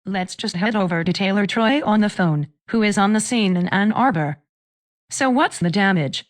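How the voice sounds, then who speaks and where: A woman's voice: medium pitch, moderate pace, natural voice. A woman playing a TV news anchor, presenting live disaster coverage in an earnest, concerned way.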